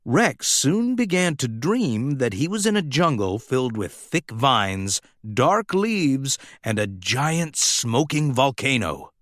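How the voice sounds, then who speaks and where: Animated, unhurried male storybook narrator. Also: medium pitch, no room sound, clean studio sound. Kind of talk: expressive scripted children's story narration.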